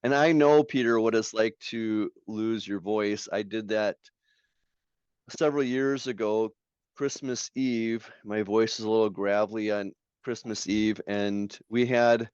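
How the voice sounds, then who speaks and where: A man's voice: fairly low pitch, steady tone, a little slow, a little mellow, clear, resonant tone, dry recording, lo-fi sound. A man, a pastor and author, hosting a podcast chat, telling stories and leading the questions. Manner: storytelling, leads the questions. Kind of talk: casual podcast discussion.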